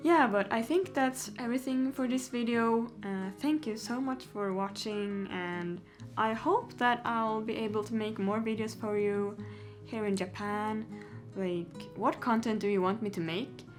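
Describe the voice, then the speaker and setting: Young girl rambling to camera, giggly, lots of likes, soft guitar music under it. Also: on the high side, a little slow. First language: Swedish. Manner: chatty, giggly, lots of "like" and "yeah". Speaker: vlogger. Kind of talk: casual Q&A chat to camera.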